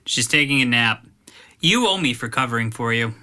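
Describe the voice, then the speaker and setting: A man's voice: mid-range voice, lively intonation, moderate pace, pushed and bright. A man playing a surly, mocking, foul-mouthed teenage cousin in an audio drama, blunt and sneering. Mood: sneering, annoyed.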